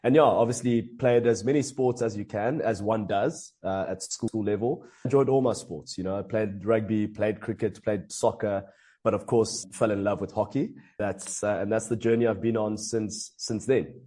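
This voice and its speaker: A man from Africa, a hockey coach giving earnest, measured interview answers. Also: fairly low pitch, mellow. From South Africa. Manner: earnest, measured, with frequent fillers and restarts.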